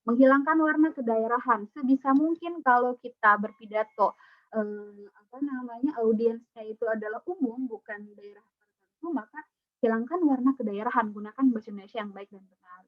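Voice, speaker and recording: A woman's voice: on the high side, brisk, dry recording. A middle-aged woman lecturer with a light Sundanese accent, giving an online class in a chatty way, full of fillers and restarts. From Sundanese region of West Java. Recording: dull, dry lo-fi call audio.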